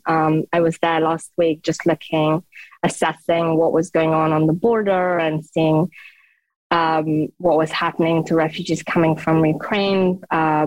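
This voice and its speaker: Low-voiced earnest woman, thoughtful. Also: a little mellow, no echo, poor audio. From Afghanistan. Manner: thoughtful, earnest, with frequent fillers and restarts. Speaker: guest, founder of a psychological aid charity. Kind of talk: conversational interview.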